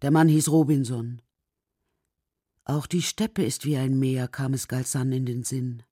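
A woman's voice: rumbling low, fairly even intonation, unhurried, warm tone, slightly rough, no room sound, studio quality. A woman reading scripted storybook narration as the narrator of a children's radio drama.

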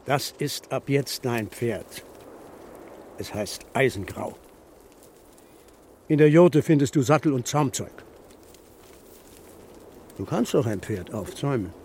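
A man's voice: medium pitch, animated, takes it slowly, long pauses, a little mellow, a little hiss or hum. An actor playing a stern, brusque old grandfather of the steppe in a radio play. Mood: dry, stern. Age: older. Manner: brusque, commanding.

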